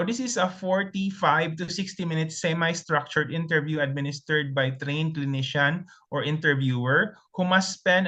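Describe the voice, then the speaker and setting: A man's voice: fairly high pitch, sharp tone. A man from the Philippines with a Filipino accent, a native Tagalog speaker, presenting slides in a webinar in a methodical way with frequent ums and restarts.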